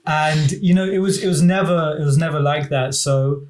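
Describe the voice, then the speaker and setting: A man's voice: on the high side, normal speed. A young man from England, a podcast guest, telling rambling stories in casual chat with constant fillers.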